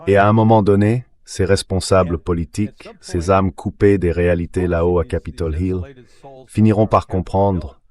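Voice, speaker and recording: A man's voice: low voice, steady tone, moderate pace, darkish tone. A man giving political commentary as a guest, in a translated voice-over read by a synthetic voice.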